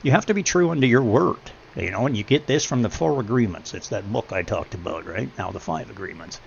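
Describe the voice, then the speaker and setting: A middle-aged man with a deep voice chatting on his stream, rambling and preachy, hammering his points home. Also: lively intonation, fairly quick, gravelly, a little hiss or hum. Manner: preachy, rambling, emphatic when making a point. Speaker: livestreamer. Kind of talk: casual chat while gaming on stream. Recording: dull lo-fi stream audio.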